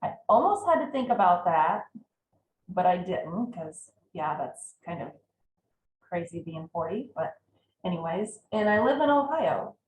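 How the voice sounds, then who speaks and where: A woman's voice: fairly low pitch, very animated, unhurried, a little mellow, slight background noise. Echoey woman in her forties, hesitant. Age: 40s. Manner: hesitant, many filler ums. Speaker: podcast host. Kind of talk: casual live podcast chat. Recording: echoey room with faint ambience.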